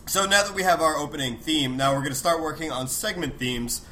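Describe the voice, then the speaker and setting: Bright, slightly stuffy podcast host. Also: male voice, a little high, a little fast, a little hiss or hum. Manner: rambling, jokey, self-deprecating. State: slightly sick, congested. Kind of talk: casual podcast banter.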